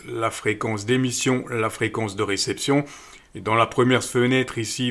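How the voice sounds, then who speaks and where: A middle-aged man calmly walking viewers through an app step by step, with frequent fillers. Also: mid-range voice. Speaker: radio equipment channel host. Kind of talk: screen-recorded app tutorial voice-over.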